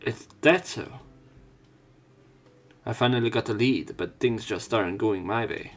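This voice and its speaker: A young man narrating a visual novel let's play, reading the story plainly and getting louder when voicing the hero. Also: fairly low pitch, unhurried. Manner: reads narration plainly, jumps up louder for the hero's lines.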